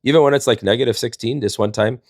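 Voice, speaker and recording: A man's voice: mid-range voice, quick pace, a little mellow, dry recording, studio quality. A man, telling casual comedic stories in a rambling, folksy, jokey way as a livestream host. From Wisconsin, United States.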